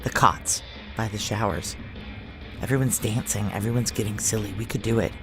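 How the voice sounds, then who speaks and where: A woman's voice: very deep voice, steady tone, moderate pace, over music. An actress playing a tough, profane female security chief, narrating and acting in a noir audio drama in a wry, blunt, conversational way. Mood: wry, intimate.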